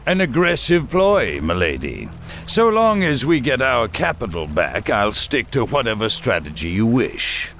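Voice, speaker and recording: A man's voice: mid-range voice, huge pitch swings, unhurried, creaky, fairly bright tone, a little hiss or hum, full character voice. A man playing an arrogant, short-tempered human military lord in a fantasy game, sneering and pompous. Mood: smug.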